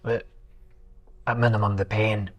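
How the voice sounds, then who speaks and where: A man playing a gentle, tentative companion in a tabletop game, with a husky voice. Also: fairly low pitch, little pitch movement, measured pace. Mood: gentle concern. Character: gentle, solicitous male companion offering comfort.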